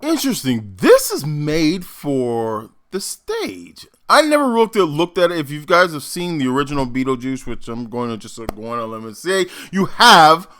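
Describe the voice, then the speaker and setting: A man giving casual, theatrical reaction commentary as an actor and host, animated and demonstrative. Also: on the high side, normal speed, few pauses.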